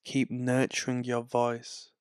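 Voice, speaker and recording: Calm slow-spoken man, smooth and flat. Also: mid-range voice, no echo. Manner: slow, calm, soothing delivery. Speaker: affirmation narrator. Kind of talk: guided affirmation narration.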